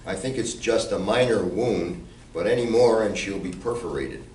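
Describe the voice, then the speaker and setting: A man's voice: on the low side, normal speed, a little mellow, natural voice. A man playing a matter-of-fact, joking gunman in an amateur radio play. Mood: dry. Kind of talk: amateur comic radio play performed live. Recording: distant echoey room, faint noise.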